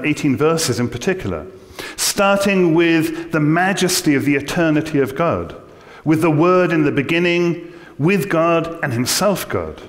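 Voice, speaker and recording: A middle-aged man with an English accent giving a measured, scholarly theology lecture from a church lectern. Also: fairly high pitch. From England. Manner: measured, scholarly, dry humor. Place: large church, indoors. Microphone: lectern mic. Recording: long church reverb.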